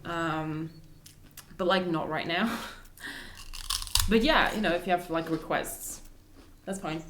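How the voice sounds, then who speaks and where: A woman's voice: fairly low pitch, takes it slowly, background noise. A Belgian woman with a British-leaning accent, chatting and rambling casually while streaming a game. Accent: Belgian English, leaning British. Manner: chatty and rambling, laughs between thoughts. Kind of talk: casual let's-play chat. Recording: roomy echo.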